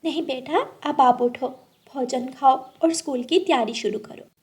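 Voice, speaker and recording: Woman as a gentle, high-voiced mother bird. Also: a little slow, slightly rough. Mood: coaxing. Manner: coaxing, motherly.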